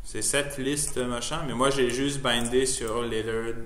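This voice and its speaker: A man, a non-native French speaker, giving a loose, chatty software demo full of fillers and restarts. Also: medium pitch, fairly even intonation, a little slow. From immigrant to Canada. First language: not French. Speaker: presenter showing his editor setup. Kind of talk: casual live software demo. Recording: echoey room, faint noise, keyboard typing.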